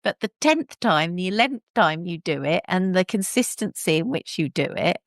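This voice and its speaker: Lower-voiced warm woman host. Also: animated, normal speed, no room sound, clean studio sound. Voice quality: warm, relaxing voice. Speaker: podcast host. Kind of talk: podcast conversation.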